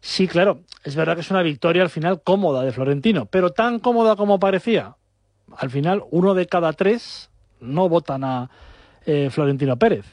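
A man presents a football talk show from a dry studio with almost no room sound. His voice sits a bit high, and he runs through the news quickly with the odd hesitation. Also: a little mellow, dry recording. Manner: rapid fluent presenting with hesitations. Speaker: sports show host.

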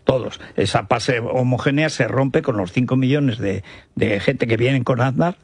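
A man's voice: mid-range voice, normal speed, gravelly, slightly rough, dry recording. A mature man with a Spanish accent from Spain, giving opinions in a panel discussion.

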